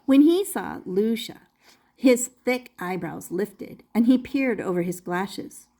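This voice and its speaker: An older woman reading a children's picture book aloud expressively, deliberately drawing out key words as a storyteller. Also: medium pitch, expressive, mellow.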